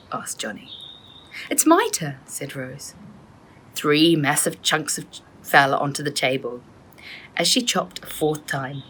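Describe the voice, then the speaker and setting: Lively, low-voiced woman reading a storybook. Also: husky. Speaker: author reading own children's book. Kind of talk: expressive storybook narration.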